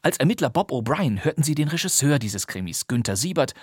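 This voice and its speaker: A man with a husky voice hosting a podcast, reading a scripted presentation of radio plays. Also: medium pitch, big pitch swings, a little fast, no room sound, clean studio sound. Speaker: podcast host.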